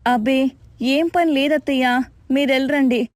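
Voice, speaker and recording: Woman acting a high-voiced young daughter-in-law. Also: fairly even intonation, unhurried, a little mellow, dry recording, studio quality. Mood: polite. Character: sensible young Indian daughter-in-law, polite but exasperated. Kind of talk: acted moral story voice-over.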